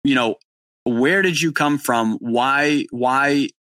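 A young man from New England, hosting a casual podcast interview, excitable and swearing freely. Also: medium pitch, slow pace, dry recording. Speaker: podcast host. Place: barn studio in rural Maine.

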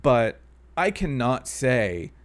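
A man in his thirties talking casually on a podcast, his pitch rising and falling a lot. He rambles, hedges with fillers and restarts his sentences, at a middling pitch. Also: very animated, slow. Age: 30s. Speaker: podcast co-host. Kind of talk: casual chat.

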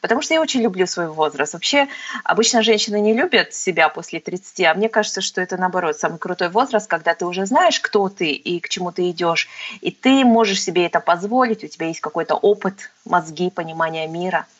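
A woman in her thirties, a podcast guest, telling her life story in a reflective, unhurried way with frequent fillers. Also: fairly low pitch, husky, muffled recording. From Kazakhstan. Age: 30s. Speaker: guest, tax consultant. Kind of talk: casual interview storytelling.

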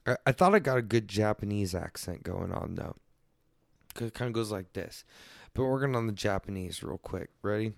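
A man's voice: fairly low pitch, normal speed, vocal fry, no room sound, studio quality. A man hosting a crude solo comedy podcast, rambling loosely and swearing freely. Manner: profane, loose and rambling, mock-defensive. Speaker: podcast host.

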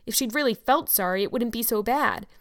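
A woman reading an audiobook voices a stern, sharp-tongued older farm woman who talks in a brisk, scolding, no-nonsense way. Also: mid-range voice, no room sound, studio quality, close to an everyday voice. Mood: indignant.

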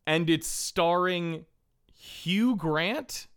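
A man's voice: on the high side, extremely slow, a bit bright, clear, resonant tone. A man co-hosting a podcast in casual banter, with a playful, giggly, jokey manner. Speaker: podcast co-host. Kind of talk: casual banter between co-hosts.

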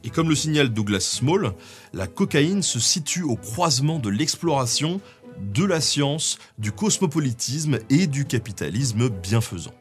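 A man's voice: medium pitch, sing-song, unhurried, faint music behind. A man with a French accent co-presenting history, explaining a script in a conversational way with frequent tag words. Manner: conversational, peppered with "hein" tags. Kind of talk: semi-scripted explanatory narration.

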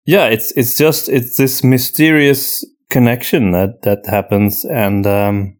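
A man talking casually and unscripted as a podcast host, often halting and repeating himself as he searches for words. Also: mid-range voice, fairly even intonation. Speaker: podcast host and story writer answering listener questions.

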